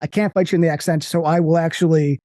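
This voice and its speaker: An American man talking casually and profanely about movies as a podcast guest, rambling with quick jokes. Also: a little high, dry recording, studio quality. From United States. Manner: animated, profane, slips into mock voices.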